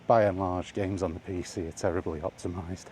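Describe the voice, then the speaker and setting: Man, deep voice, soft mellow tone, casual rambling walk-and-talk, faint outdoor noise. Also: steady tone, fairly quick. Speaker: vlogger. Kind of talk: casual rambling chat while walking. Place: outdoors on a walk, under trees.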